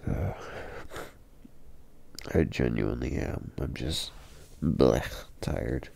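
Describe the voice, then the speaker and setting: A man playing a tired, affectionate long-distance boyfriend at bedtime in an intimate ASMR roleplay, murmuring sleepily and haltingly. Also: rumbling low, animated, creaky, a little mellow, heavily acted. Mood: drained. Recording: faint background noise.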